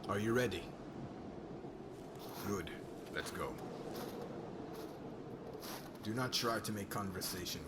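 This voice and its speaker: Man acting a deep-voiced, brooding witch hunter. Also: heavy background noise, close to an everyday voice. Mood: curt, cold. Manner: cold, commanding, brooding. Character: stern, bitter male witch hunter, hateful toward witches. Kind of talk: ASMR roleplay acting.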